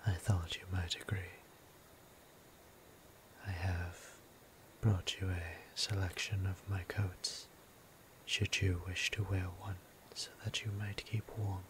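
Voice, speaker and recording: Man acting a slow, whispering gentle vampire priest. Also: low-pitched, level tone. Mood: courteous, inviting. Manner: formal, hushed, unhurried, tender, with deliberate pauses. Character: gentle, courteous male vampire priest, devoted caretaker. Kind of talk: intimate ASMR roleplay, mostly whispered. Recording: clear ambient background noise.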